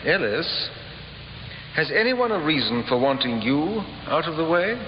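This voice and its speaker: Probing police inspector, animated pitch. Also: male voice. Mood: firm, confiding. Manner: measured, questioning, dry. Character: probing, composed male police inspector, politely firm. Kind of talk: 1950s radio drama acting. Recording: dull, noisy, echoey old radio recording.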